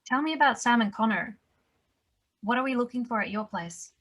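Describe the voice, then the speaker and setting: A woman's voice: a little high, animated, moderate pace, a bit bright. A woman playing a tough, no-nonsense nurse in a table read. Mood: matter-of-fact.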